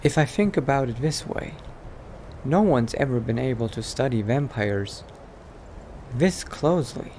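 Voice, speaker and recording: A man playing a thoughtful scholar who is turning into a vampire, musing aloud haltingly in an improvised roleplay monologue. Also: mid-range voice, lively intonation, a little mellow, slight background noise, lightly acted. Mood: intrigued.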